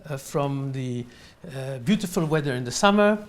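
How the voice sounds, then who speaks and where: A man with a French accent answering interview questions in halting, unscripted non-native English. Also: medium pitch, animated. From France. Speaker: interview guest, real estate company owner. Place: in his apartment.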